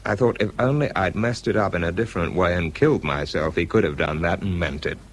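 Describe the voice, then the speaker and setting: British male as a worn-out, introspective wartime expert; very low voice, understated radio drama delivery, noisy recording. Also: fairly quick. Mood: weary, reflective. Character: exhausted, self-doubting man, a wartime bomb disposal expert. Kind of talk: British radio drama, first-person narration and dialogue.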